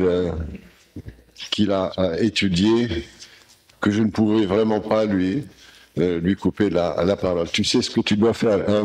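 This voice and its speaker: Hesitant low-voiced man chairing a session. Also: darkish tone. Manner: hesitant, with many fillers. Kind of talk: introducing a speaker. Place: conference hall.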